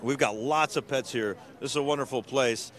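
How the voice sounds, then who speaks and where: A man's voice: medium pitch, moderate pace, fairly bright tone. A middle-aged man from New York City hosting a casual live stream outdoors in a city park, chatty and upbeat, with lots of fillers. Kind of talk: casual live walk-around coverage.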